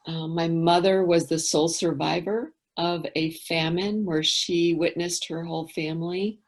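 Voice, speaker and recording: Middle-aged woman, interview guest; candid, reflective, a touch low in pitch. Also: steady tone, a little slow. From England. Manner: thoughtful, candid, trails off into quiet asides.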